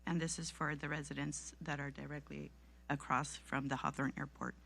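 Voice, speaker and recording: A woman's voice: low-pitched, flat delivery, moderate pace, creaky, a bit bright, husky. A woman making a public comment to a city council as a member of the public.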